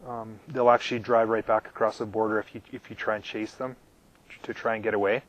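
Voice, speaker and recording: A man hosting a live question-and-answer stream, halting and full of ums, losing his voice and clearing his throat often, talking from his RV out in the desert. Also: on the low side, muffled recording. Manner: halting, with many ums and repeated words. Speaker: channel host answering viewer questions. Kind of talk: live casual Q&A.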